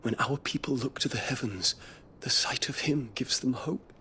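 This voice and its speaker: An actor playing a troubled, dutiful young imperial prince in a video game, speaking in formal, lofty, earnest language. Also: male voice, mid-range voice, moderate pace, bright, forceful tone, in a whisper, natural voice. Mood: grave, troubled. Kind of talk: dramatic video game cutscene acting.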